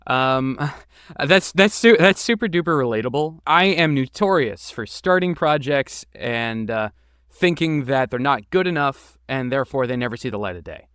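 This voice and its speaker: A man's voice: medium pitch, animated, moderate pace, fairly bright tone, smooth, no echo, studio quality. A man answering interview questions casually as a podcast guest, with an informal, enthusiastic and self-deprecating manner. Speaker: podcast guest, game creator and voice actor.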